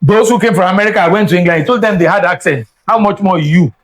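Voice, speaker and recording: A man's voice: sits high, quick pace. Emphatic older Nigerian man, lecturing. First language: Yoruba. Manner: emphatic and lecturing, repeats words for stress. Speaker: guest scholar. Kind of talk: reflective interview talk.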